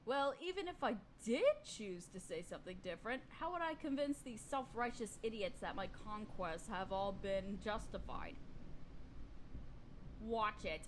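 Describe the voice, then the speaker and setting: A woman's voice: mid-range voice, expressive, measured pace, slight background noise, natural voice, low-quality recording. A woman playing a vain, domineering evil sorceress queen in a fantasy audio roleplay, speaking in an imperious, theatrical drawl. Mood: impatient, haughty.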